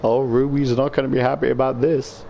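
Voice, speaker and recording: A man's voice: mid-range voice, level tone, fairly quick, gravelly, mellow, slight background noise. A man reading a visual novel aloud and adding joking, sweary commentary. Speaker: video creator reading a game aloud.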